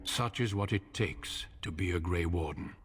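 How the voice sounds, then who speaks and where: An actor playing a grave, composed veteran warrior commander in fantasy game dialogue, measured and formal. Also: male voice, sits low, a little hiss or hum, lightly acted. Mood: solemn, composed.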